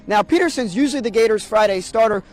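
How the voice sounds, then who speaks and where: A man's voice: sits high, expressive, quick pace, slight background noise. A young man doing sports broadcast commentary as a play-by-play announcer and reporter. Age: young adult.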